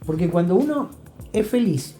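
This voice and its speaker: Older Argentine man with a mellow voice. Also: high voice, animated, moderate pace. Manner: emphatic, didactic, repeats phrases. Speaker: guest therapist. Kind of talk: reflective interview talk. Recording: roomy echo, faint music.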